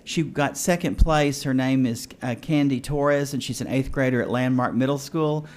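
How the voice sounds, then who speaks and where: A man at a school board meeting announcing student awards in a halting way, with many fillers and restarts. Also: mid-range voice. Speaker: school district official presenting awards.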